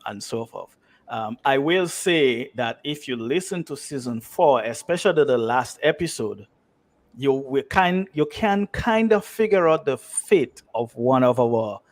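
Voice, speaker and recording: Guy being interviewed on a radio show, medium pitch, just talking it through. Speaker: interview guest from a radio drama. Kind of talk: conversational interview.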